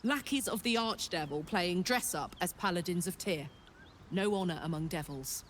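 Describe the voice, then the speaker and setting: A hearty, brash warrior woman from a fantasy game, acted by a woman, speaking in a lowish voice. She is blunt, sweary and exuberant, with noise audible behind her. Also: moderate pace, lightly acted. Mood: scornful. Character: brash, hearty tiefling woman warrior, escaped infernal soldier. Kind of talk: video game character dialogue.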